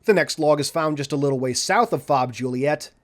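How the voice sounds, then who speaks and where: A man reading a scripted video game walkthrough in a measured, orderly, instructional way. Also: on the high side. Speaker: video game guide narrator. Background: background music.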